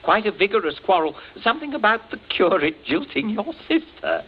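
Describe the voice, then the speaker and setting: An actor playing a sly, sardonic gentleman captain with a dry, toying manner, in 1940s radio acting. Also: high voice, very animated, fairly quick, slightly rough, full character voice. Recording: dull old radio recording with faint hiss.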